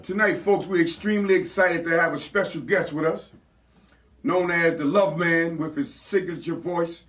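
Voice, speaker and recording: A man's voice: on the high side, a bit bright, recorded from a distance. A man co-hosting a podcast, casual and hyped, chiming in with lots of affirmations. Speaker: podcast co-host. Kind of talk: casual interview chat.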